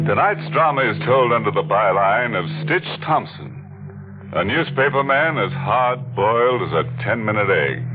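A ghoulish, tongue-in-cheek horror host on 1940s radio. His delivery is unhurried and very animated, full of mock-sinister relish. The recording is muffled and old, with music clearly behind him. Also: fairly low pitch. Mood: ominous. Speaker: host of a mystery radio show.

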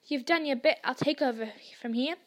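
A woman voicing a huge, gruff, kindly male gamekeeper who talks bluntly. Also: high voice, brisk.

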